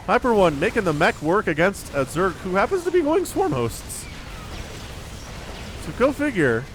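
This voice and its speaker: A man giving casual, chatty live commentary on a video game as a shoutcaster, a bit sniffly with a cold. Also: high-pitched, sing-song, moderate pace, slight background noise. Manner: chatty, informal, slangy asides. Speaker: esports shoutcaster. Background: music.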